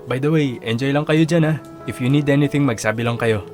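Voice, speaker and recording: A man's voice: medium pitch, brisk, darkish tone, natural voice. An actor playing a friendly, polite young hotel worker, a man with an easygoing manner, in a radio drama. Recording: guitar music behind.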